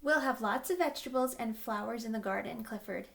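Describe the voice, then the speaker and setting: A woman's voice: a little high, a little fast, creaky, a bit bright, slight background noise. A woman voicing an eager, caring young girl in a storybook, earnest and emphatic. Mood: cheerful.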